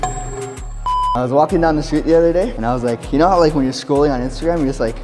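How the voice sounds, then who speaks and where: A man bantering with his gym partner and telling animated, teasing stories. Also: on the high side, fast, over music. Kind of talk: casual banter. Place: gym, indoors.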